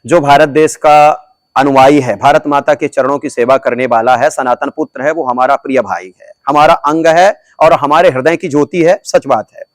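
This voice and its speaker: A man's voice: medium pitch, steady tone, normal speed, no room sound. A man, a religious teacher, answering interview questions in a declamatory, preaching manner and repeating phrases for emphasis. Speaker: religious guru being interviewed.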